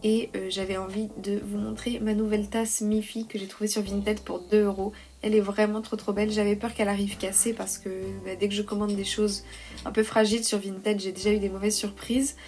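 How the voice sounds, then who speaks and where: A young woman with a slight head cold, talking softly and hesitantly in a calm, cosy vlog voice-over. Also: medium pitch, fairly quick, soft background music. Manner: soft, hesitant, many "euh" fillers. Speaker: vlogger, freelance illustrator.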